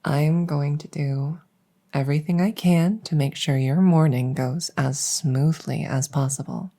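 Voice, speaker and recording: A woman voicing a devoted, gentle vampire maid in an ASMR roleplay, soothing and polite, close to the mic. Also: deep voice, fairly even intonation, unhurried. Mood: soothing, caring. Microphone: close stereo condenser mic.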